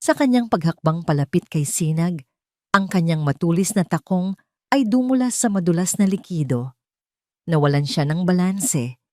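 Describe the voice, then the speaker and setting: Female story narrator, Filipino accent, slightly low register, dramatic first-person audio drama delivery, very dry sound. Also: expressive, moderate pace, a little mellow, no room sound.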